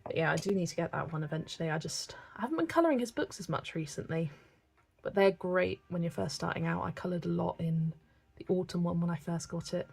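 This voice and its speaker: A woman's voice: on the low side, fast, creaky, slightly rough. A woman from England, chatting casually and reading viewer comments while she colours on a livestream. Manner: chatty, relaxed, thinking aloud with small laughs.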